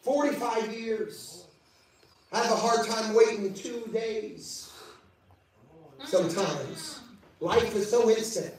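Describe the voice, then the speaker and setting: A man with a high voice delivers a sermon, reading scripture and calling out to the congregation. His voice rings through a very echoey church, caught from well off the mic. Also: slow pace, pauses often, a little mellow, far from the mic, a little hiss or hum. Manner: preaching with call-outs and scripture reading. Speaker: preacher. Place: church service.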